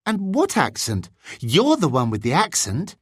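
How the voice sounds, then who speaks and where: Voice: mid-range voice, wildly swooping pitch, a little slow, breathy edge, no room sound, clean studio sound, voice pushed up, exaggerated voice. A narrator voicing an easygoing, modest young English boy wizard in an audiobook, casual and a little bemused.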